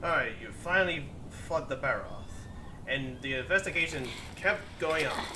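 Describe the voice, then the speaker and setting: A man giving a halting, casual recap as narrator of a gaming video. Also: a little high, expressive, background noise. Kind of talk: casual, improvised story recap commentary. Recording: distant mic under game music.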